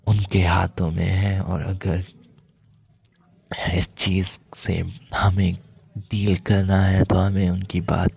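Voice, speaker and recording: A man acts out a mafia boss's lovesick son in an intimate ASMR roleplay. His low voice is heavily creaky and husky, sometimes slipping toward a whisper. He confides haltingly and apologetically, and the audio is slightly dull. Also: darkish tone, full character voice. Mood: apologetic, reluctant. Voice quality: gravelly, husky, breathy.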